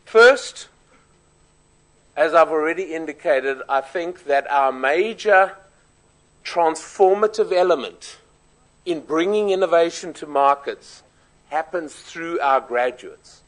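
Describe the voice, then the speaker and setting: A man with a South African accent giving a formal conference speech, measured and emphatic, laying out his points in order. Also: fairly high pitch, animated, fairly bright tone, rough recording.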